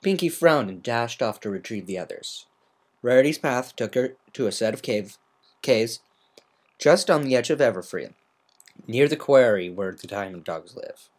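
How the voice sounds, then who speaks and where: Low-voiced woman narrating a story. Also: animated, moderate pace, a little mellow. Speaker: amateur fan fiction reader. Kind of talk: semi-dramatic scripted story narration.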